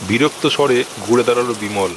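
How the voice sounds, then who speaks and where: A man's voice: mid-range voice, fast. A man narrating a scripted horror cartoon story. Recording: noisy recording with background ambience.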